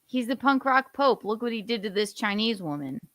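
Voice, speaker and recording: Woman podcast co-host with a higher, very lively voice, quick to laugh and tease, faint music underneath. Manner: giggly, teasing banter. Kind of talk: casual chat.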